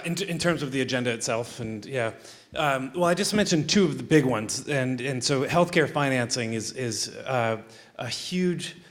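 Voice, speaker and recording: A man's voice: mid-range voice, a bit bright. A man in a state legislator's role answering at a panel, earnest, with many ums and restarts.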